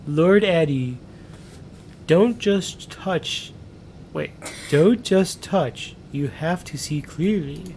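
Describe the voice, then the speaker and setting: A man voicing a smug, teasing captive in a story, slow and condescending, with noise behind him. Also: a little mellow. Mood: mocking, condescending. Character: smug, teasing male captive with strange spells, slow and mocking. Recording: clear background noise with low rumble.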